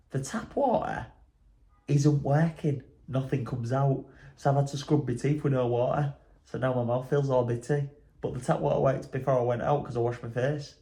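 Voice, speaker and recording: A man's voice: medium pitch, warm tone. A man talking casually to camera as a travel vlogger, chatty and rambling with self-mocking humor.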